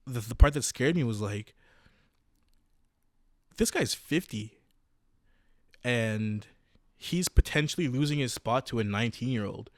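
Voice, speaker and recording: A young man in his twenties, a podcast host, telling his own story in a casual, halting way with many restarts and fillers. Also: mid-range voice, no echo, clean studio sound.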